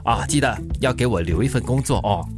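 A man's voice: medium pitch, lively intonation, a little fast, music in the background, lightly acted. A man playing a kindly, world-weary older delivery driver in a radio drama, with a Singaporean accent and a chatty, sighing, avuncular manner. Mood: teasing. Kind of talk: Mandarin radio drama acting.

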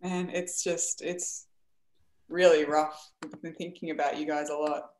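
A woman's voice: sits low, little pitch movement, measured pace, a little mellow. A woman hosting a casual group video call from home, effusive and chatty, thinking aloud as she goes. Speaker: host of a community video call. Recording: roomy video call audio.

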